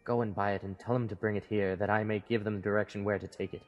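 A man's voice: fairly low pitch, flat delivery, quick pace, highs cut off, close to an everyday voice. A man playing a reformed old miser, now a hearty and courteous uncle, in a Victorian audio drama. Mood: gleeful. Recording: long hall reverb, faint music behind.

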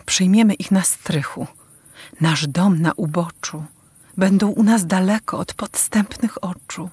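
A woman's voice: on the low side, a little slow, darkish tone, husky, no echo. An actress playing a steadfast, devout village mother in a rhymed radio play, earnest and tender. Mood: quiet resolve.

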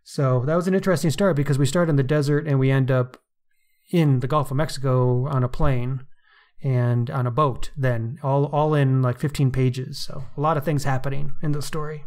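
A man's voice: mid-range voice, steady tone, moderate pace, a little mellow. A man co-hosting a podcast, discussing fiction casually and appreciatively as he thinks aloud. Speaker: podcast co-host.

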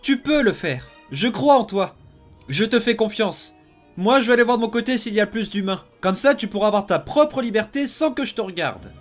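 A man's voice: high-pitched, animated, normal speed, faint music behind. A man voicing a menacing, manipulative young boy out for revenge in a French comic dub, coaxing and commanding.

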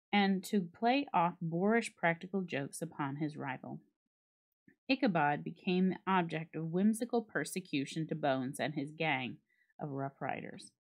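A woman giving a dramatic reading of a classic story, with measured, expressive storytelling. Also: fairly low pitch. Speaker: library staff reader.

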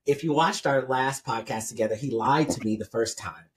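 A man in his thirties hosts a casual podcast panel at home. He talks in a relaxed, chatty way, laughing easily and stopping to explain terms for the listeners. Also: medium pitch, normal speed. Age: 30s. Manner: laid-back, laughing, explaining. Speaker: podcast host.

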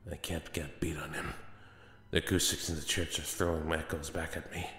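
A man's voice: very deep voice, level tone, moderate pace, fairly bright tone. A man playing a grim, embattled vigilante superhero in a comic dub, with terse, brooding inner monologue. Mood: tense, focused. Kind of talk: comic book dub voice acting. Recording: echoey long reverb, music behind.

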